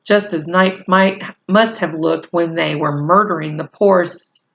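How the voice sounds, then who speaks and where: A woman reading a children's novel aloud in a deliberate, measured storytelling manner. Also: on the low side, fairly even intonation, vocal fry. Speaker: storybook reader.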